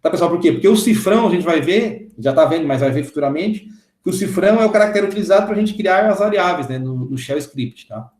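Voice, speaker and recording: A man's voice: high-pitched, animated, quick pace, darkish tone, reverberant. A man in his thirties teaching a coding lesson, walking through it step by step and often checking in with the class. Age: 30s. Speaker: programming instructor. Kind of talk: screen-recorded coding lesson.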